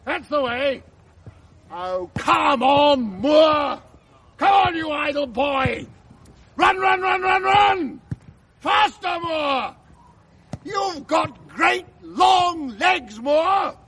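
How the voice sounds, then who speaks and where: An actor playing a middle-aged English schoolmaster, blustering, clipped and teasing, in a radio drama. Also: male voice, extremely high pitch, lively intonation, drawn-out words, gravelly, fairly bright tone, shouting, exaggerated voice. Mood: bellowing. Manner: clipped, bantering, mock-stern, old-fashioned.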